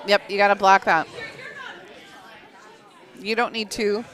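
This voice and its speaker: A woman's voice: medium pitch, steady tone, a bit bright, some chatter behind. A woman from Iowa doing light, easygoing color commentary on a curling match and laughing easily. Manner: light, laughs easily, quick agreeing remarks.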